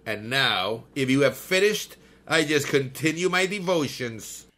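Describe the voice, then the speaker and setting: A man's voice: mid-range voice, expressive, measured pace, bright, forceful tone. An actor playing a haughty, smug male mystic who scorns his enemy, in 1930s-style radio drama acting. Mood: scornful. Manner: mocking, pompous, laughing.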